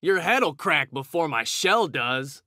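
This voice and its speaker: A man's voice: a little high, very animated, slow pace, sharp tone, no echo, clean studio sound, exaggerated voice. A man voicing a cocky, hot-tempered young mutant ninja turtle, trading brash, slangy taunts in a fighting video game. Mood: brash, combative. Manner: brash, slangy trash talk.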